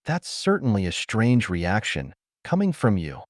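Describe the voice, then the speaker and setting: Man doing a teasing unbothered lady from old scifi, matter of fact, really animated pitch. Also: measured pace, dry recording, studio quality. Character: composed, teasing, unabashed woman in pulp science fiction.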